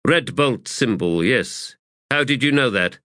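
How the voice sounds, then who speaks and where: A man's voice: medium pitch, lively intonation, a little slow, bright, forceful tone, no echo, voice pushed up. A man voicing a secretive, self-assured army commander in an audiobook, explaining with dry authority. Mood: dismissive.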